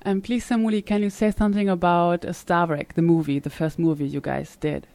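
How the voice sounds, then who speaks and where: A woman's voice: lowish voice, fairly even intonation, brisk, dark tone, dry recording. A woman hosting a podcast interview, asking questions in a hesitant way with frequent fillers. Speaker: podcast host. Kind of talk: conversational interview. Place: conference summit venue.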